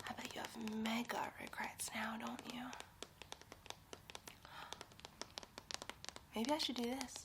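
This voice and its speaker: A woman's voice: medium pitch, noisy background. A woman voicing a giddy, bossy young superhero sidekick in a whispered, theatrical, teasing ASMR roleplay. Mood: bored, taunting.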